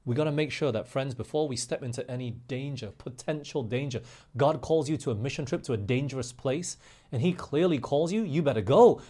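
A man preaching a sermon in an emphatic way, slowing down on key phrases and putting rhetorical questions to his listeners. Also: mid-range voice, mellow. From grew up overseas in England, Australia and the US.

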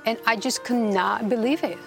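Woman, fairly high voice, halting earnest telling, music underneath. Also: gravelly, fairly bright tone. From Costa Rica. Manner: halting, earnest, many restarts. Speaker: guest. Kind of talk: personal testimony interview.

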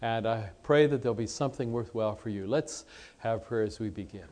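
A man's voice: lowish voice, fairly quick, darkish tone. A man who grew up in Lebanon presenting an informal religious seminar in a conversational, self-deprecating way. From Lebanon, born in Egypt to missionary parents. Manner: conversational, self-deprecating, addresses listeners with questions. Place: conference seminar room with audience.

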